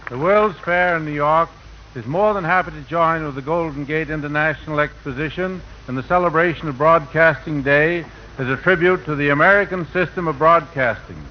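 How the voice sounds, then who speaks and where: Man, formal radio speech, read from prepared text, somewhat high pitch, muffled old recording, faint noise. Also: brisk. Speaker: World's Fair board chairman, guest speaker.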